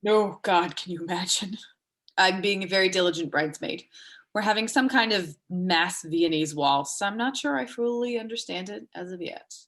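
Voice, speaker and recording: A woman in a dramatic reading, playing a sharp, foul-mouthed, vulnerable woman with a halting, blunt delivery. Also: lowish voice. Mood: awkward, friendly. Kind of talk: dramatic reading of a stage play dialogue.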